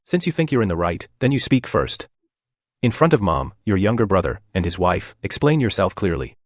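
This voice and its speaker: A man's voice: sits low, sing-song, normal speed, no room sound. A man playing a bossy, condescending, self-righteous husband and eldest son in a dubbed family radio drama. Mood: indignant.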